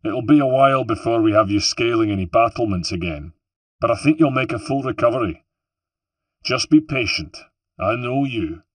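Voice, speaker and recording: A man doing a brisk, commanding Venetian healer. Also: mid-range voice, expressive, no room sound, pitched above the performer's own voice, close to an everyday voice. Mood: reassuring. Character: brisk male Venetian healer, gruffly caring.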